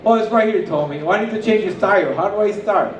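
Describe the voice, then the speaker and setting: A man's voice: high-pitched, brisk, distant mic, dull audio, a little hiss or hum. Puerto rican guy, spanish is his first language, rambly party speech full of uhs, echoey hall mic. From Puerto Rico. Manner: rambling, warm anecdotes with many fillers. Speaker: coworker giving a retirement speech. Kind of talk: casual speech to a party crowd. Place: large hall with a stage, indoors. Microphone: handheld mic, filmed by a far camera.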